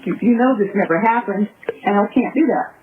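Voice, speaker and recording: A woman's voice: mid-range voice, fairly quick. A middle-aged woman talking casually on a recorded telephone call. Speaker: mother on a jail phone call. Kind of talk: casual phone conversation. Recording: noisy, muffled recorded phone line.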